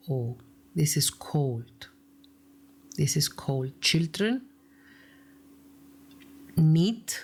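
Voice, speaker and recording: Slow, low-voiced Greek Cypriot woman. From Cyprus. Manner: earnest, emphatic, drops to a whisper for stress. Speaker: psychologist and YouTube host. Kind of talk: reading and commenting on a viewer's email.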